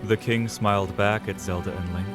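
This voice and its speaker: Low-voiced male story narrator over music. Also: fairly even intonation, moderate pace.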